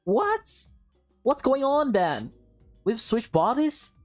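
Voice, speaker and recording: A woman's voice: on the high side, sing-song, slow pace, pitched above the performer's own voice. A woman voicing a startled, suspicious woman from a visual novel, with alarmed, questioning delivery. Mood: shocked. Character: startled, suspicious adult woman in a swapped body. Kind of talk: visual novel read aloud in character. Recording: game music behind the voice.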